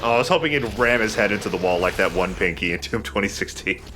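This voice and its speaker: A man's voice: fairly low pitch, animated, fast, background noise. A man hosting a let's play, giving casual gameplay commentary.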